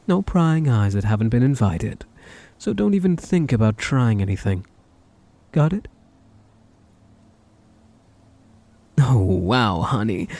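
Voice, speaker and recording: A man playing an obsessive, sweetly menacing stalker groom in an ASMR audio drama, breathy and doting with a threat underneath. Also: fairly high pitch, warm tone, no room sound, heavily acted. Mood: gloating, possessive. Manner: doting endearments laced with menace. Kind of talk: ASMR roleplay audio drama, addressing the listener.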